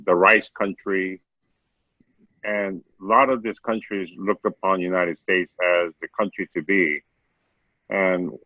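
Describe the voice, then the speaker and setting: An older man with a very deep voice comes through a dull, lo-fi call line. He talks haltingly, restarting words and changing pace as he goes. The sound is close and dry, with no room around it. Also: no echo. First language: Korean. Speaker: webinar participant. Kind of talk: casual spoken comment.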